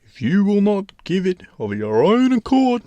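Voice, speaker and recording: Scheming fairytale giant, big and booming, stretched-out words, raised pitch, close dry sound. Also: male voice, wildly swooping pitch, no room sound, dull audio. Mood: demanding, menacing. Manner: booming, drawn-out, forceful delivery. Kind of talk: storybook character voice.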